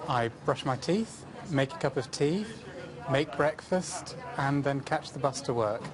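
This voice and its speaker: A man with a middle-pitched voice answers interview questions about his day. He lists each step plainly. A crowd is clearly audible in the background. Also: measured pace.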